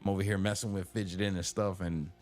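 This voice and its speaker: A man's voice: sits low, flat delivery, quick pace, a little mellow, faint music behind. A man hosting a live call-in stream, chatting casually, joking and teasing, reading chat comments aloud. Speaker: live show host. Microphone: headphones with computer mic.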